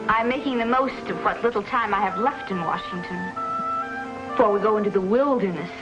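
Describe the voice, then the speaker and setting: An American actress playing a spoiled, disdainful young society daughter with clipped delivery in a 1950s television western. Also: female voice, medium pitch, brisk, lightly acted. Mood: cool disdain. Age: young adult. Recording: dull vintage TV soundtrack, loud music.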